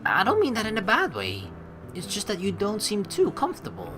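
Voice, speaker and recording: A woman's voice: mid-range voice, huge pitch swings, fairly quick, fairly bright tone, soft background music. A young woman giving casual, slangy game commentary, reading aloud and reacting as she goes. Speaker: let's play host.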